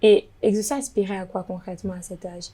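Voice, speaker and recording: A woman's voice: mid-range voice, fairly even intonation, quick pace, dark tone, slightly rough. A woman interviewer asking probing questions, often suggesting possible answers herself.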